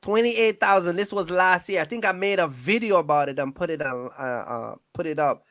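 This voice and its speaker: High-pitched man, emphatic casual talker. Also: a little fast, a bit bright, no room sound, clean studio sound. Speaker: trucking business owner and video creator. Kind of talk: casual chat.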